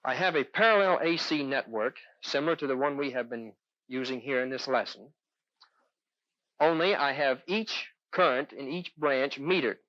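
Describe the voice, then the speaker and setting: A man's voice: mid-range voice, moderate pace, no echo. A man lecturing as an electronics instructor in a 1970s instructional film, explaining methodically step by step. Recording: dull, dry 1970s film soundtrack.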